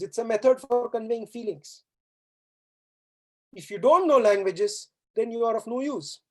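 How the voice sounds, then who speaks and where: A woman's voice: on the high side, darkish tone. A woman from India whose first language is Hindi, giving a formal valedictory speech as a guest speaker at a university event, earnest and exhorting, with rhetorical questions. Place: university auditorium.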